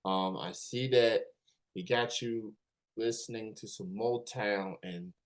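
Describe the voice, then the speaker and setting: An upbeat man hosts a casual interview, his voice sitting a little low. He asks his questions loosely, with plenty of ums and restarts. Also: steady tone, slow. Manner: upbeat, filler-heavy questions. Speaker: podcast host.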